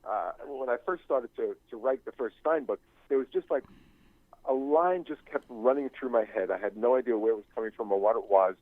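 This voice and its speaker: An older man speaking as an interview guest in a reflective, conversational way, haltingly searching for his words. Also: mid-range voice, no echo. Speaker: interview guest, novelist and screenwriting teacher. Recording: thin phone-like line.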